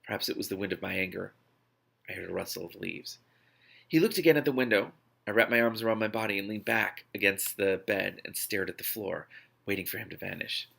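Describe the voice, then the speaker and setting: A man's voice: fairly low pitch, fairly quick, vocal fry, sharp tone, slightly rough. A man reading a novel aloud expressively, often stumbling and breaking off to react. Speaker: book reader, reaction host.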